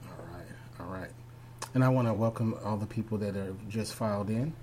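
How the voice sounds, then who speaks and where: A man's voice: lowish voice, brisk, a little mellow. A man hosting an online interview show, asking questions in a hesitant way full of fillers. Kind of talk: casual interview. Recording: faint hum under the voice.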